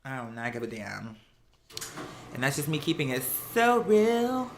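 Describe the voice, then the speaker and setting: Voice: medium pitch, sing-song, unhurried. An American livestream host chatting casually in a campy, sassy, slang-heavy way. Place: at home, laundry running nearby. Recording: some household noise behind the voice. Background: laundry noise.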